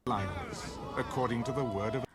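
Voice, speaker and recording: A man's voice: mid-range voice, moderate pace, background noise. A man narrating scripture from a script in a dramatic audio Bible style.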